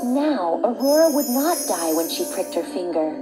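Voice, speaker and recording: A woman's voice: medium pitch, big pitch swings, normal speed, rough recording. A woman reading a scripted fairy tale for children as a storyteller. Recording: background music.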